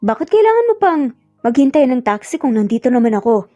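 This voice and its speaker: A woman's voice: high voice, sing-song, fast, mellow, muffled recording, faint music behind. A woman playing an eager, smitten young man in a romance story, friendly and persistent. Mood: cheerful, persistent.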